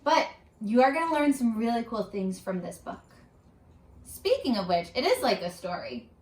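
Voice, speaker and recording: A woman reading aloud to children as a teacher, addressing them directly with questions in an animated, instructional way. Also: on the high side, moderate pace. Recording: roomy, echoing sound.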